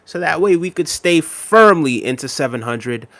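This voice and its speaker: A man in his thirties hosting a casual livestream, reading viewer comments aloud in a relaxed, repetitive way with many fillers, a little unwell and tired. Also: on the high side, moderate pace, no room sound. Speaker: livestream host.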